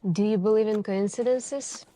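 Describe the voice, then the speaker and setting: A woman playing a suspicious police detective in an ASMR interrogation roleplay, speaking in a deliberate, insinuating way with pointed pauses. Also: mid-range voice, steady tone, dark tone, lightly acted, rough recording. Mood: accusing, knowing.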